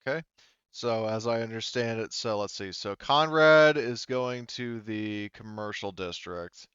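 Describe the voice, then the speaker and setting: A man running a tabletop game as game master, casually thinking aloud in a halting way. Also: lowish voice, fairly bright tone, smooth, dry recording, muffled recording.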